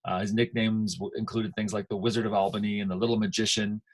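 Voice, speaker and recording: American guy teaching history, kinda low husky voice, informal and emphatic. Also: little pitch movement, fast talker, rough recording. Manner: conversational, emphatic, asks rhetorical checks.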